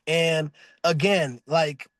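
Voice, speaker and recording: A man being interviewed about his voice work, gushing in a loose, rambling way full of "like" and restarts. He sits high for a male voice, though he calls it deep himself. The sound is dry and close, with no room in it. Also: steady tone, extremely slow, dry recording. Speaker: voice actor guest. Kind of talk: casual interview chat.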